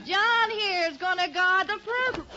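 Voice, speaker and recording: An actress playing a loud, tipsy, insistent tavern woman in a 1950s radio drama. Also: extremely high pitch, a little slow, full character voice. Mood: boisterous, tipsy. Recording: dull old 1950s radio recording.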